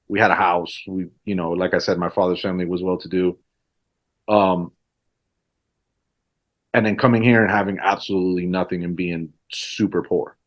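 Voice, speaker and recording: A man in his forties from Mexico, Spanish his first language, chatting casually as a guest and rambling with many fillers. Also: on the low side. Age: 40s. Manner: rambling, lots of fillers like "you know". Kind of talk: casual conversation.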